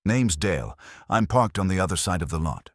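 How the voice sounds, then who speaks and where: A man's voice: sits low, expressive, fairly quick, no room sound, studio quality, pitched above the performer's own voice. A man voicing a friendly, easygoing trucker chatting casually over CB radio in a horror story.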